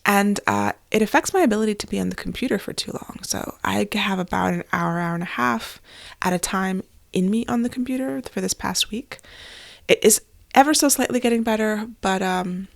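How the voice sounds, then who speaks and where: A woman's voice: medium pitch, lively intonation, moderate pace, gravelly, no room sound. A woman hosting her own podcast in a casual, candid solo talk, sounding a little stuffy and nasal as she gets over an illness. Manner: chatty and candid, with fillers and small laughs. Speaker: podcast host and author.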